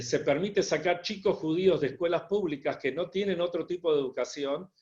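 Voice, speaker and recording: A rabbi recounts his experiences, pausing and restarting often. His voice sits a little high for a man. The video call audio is muffled and lo-fi. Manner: hesitant, with many restarts. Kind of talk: personal testimony at an online gathering.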